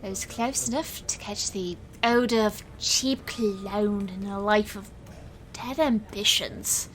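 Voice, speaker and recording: A woman's voice: medium pitch, slow, a little hiss or hum, close to an everyday voice, rough recording. A woman playing a defiant, sardonic young stage magician, telling her story in a dry, controlled way in an audio drama. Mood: wry, tense.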